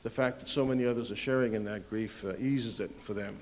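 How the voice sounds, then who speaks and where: A man giving a heartfelt spoken tribute at a ceremony, hesitant at times with small pauses. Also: lowish voice, steady tone, darkish tone.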